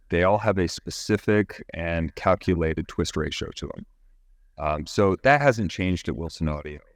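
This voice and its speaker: A man giving technical, explanatory interview answers as a company executive, measured and often halting mid-phrase. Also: deep voice, no echo, clean studio sound. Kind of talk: explanatory interview answers about product engineering.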